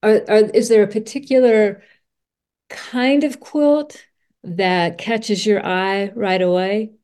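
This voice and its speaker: A woman's voice: medium pitch, fairly even intonation, slow pace, warm tone, clear, resonant tone. A woman hosting a conversational podcast interview, asking thoughtful, meandering questions.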